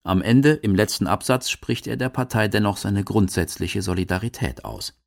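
Creaky-voiced man narrating a documentary. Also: fairly low pitch, animated, normal speed. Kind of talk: scripted narration.

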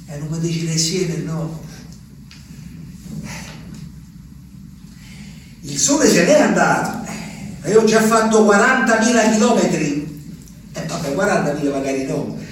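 An actor playing an ageing, lonely peasant man, rambling and repeating himself in a theatrical monologue. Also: high voice. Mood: wistful. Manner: rambling, repetitive, swings from hushed to exclaiming. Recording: echoey, distant stage recording, faint noise.

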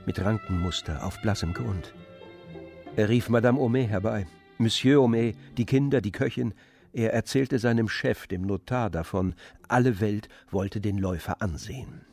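Lowish-voiced male literary narrator. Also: a little mellow. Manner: measured, polished storytelling. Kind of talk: scripted literary narration, audio drama.

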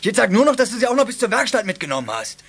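An actor playing a short-tempered, gruff crime boss in a radio play, scolding and commanding. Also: male voice, high voice, very animated, very fast, a bit bright, full character voice. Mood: angry, urgent. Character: bossy, short-tempered male crook, boss of scrap-metal thieves. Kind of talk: 1980s German youth radio play acting. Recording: noisy old cassette radio play.

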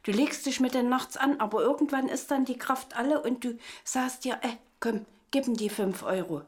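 A woman's voice: fairly high pitch, moderate pace. A middle-aged woman giving a spontaneous personal account as an interviewee, earnest and insistent.

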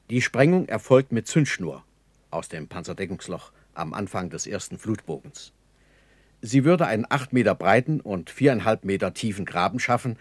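An actor playing a sober army engineer captain giving formal, precise reports in a German radio play. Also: male voice, fairly low pitch, no room sound. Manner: matter-of-fact, formal, precise.

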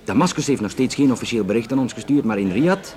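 An actor with a Flemish accent playing a matter-of-fact, talkative Belgian police investigator in a naturalistic radio drama, explaining conversationally with some hesitation. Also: male voice, medium pitch, expressive, fast, a little mellow, lightly acted. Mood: matter-of-fact, confiding.

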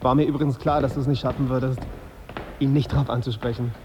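A man's voice: medium pitch, fairly even intonation, fast talker, a little mellow, dull audio, slight background noise, lightly acted. An actor playing a brooding, embittered young male journalist in a radio drama, with a sardonic, indignant manner. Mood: bitter, indignant. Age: young adult. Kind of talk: radio drama dialogue and inner monologue.

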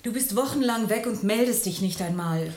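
A woman's voice: mid-range voice, moderate pace, darkish tone, close to an everyday voice. An actress playing a weary, exasperated woman impatient with her brooding partner in a German radio play.

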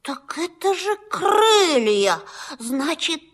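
Squeaky, excitable little field vole. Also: female voice, full character voice. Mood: curious, amazed. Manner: wide-eyed, exclaiming, drawn-out delivery. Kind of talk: children's audio play acting.

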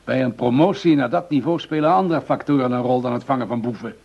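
An actor playing a pragmatic, patronizing senior police commissioner in a radio drama, dismissive and mildly impatient. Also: male voice, mid-range voice, fast talker, creaky, slightly rough. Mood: puzzled, patronizing. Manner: dismissive, patronizing, hesitating with "nou". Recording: slightly dull, lo-fi radio recording.

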